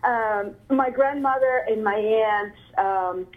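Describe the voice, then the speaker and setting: A woman from Ecuador answering interview questions, hesitant, with many fillers and frequent throat clearing. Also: a little high, slow. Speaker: interviewee, artist. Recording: phone-like narrow sound.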